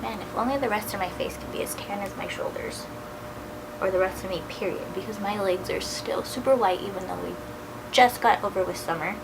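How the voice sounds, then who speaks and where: Woman, 30s, beauty vlogger with a cold: gravelly, nasal, chatty, noisy home recording. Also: mid-range voice, a little fast. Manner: casual and chatty, drifting into soft near-whispers. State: has a cold, a little nasal. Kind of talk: chatty makeup tutorial.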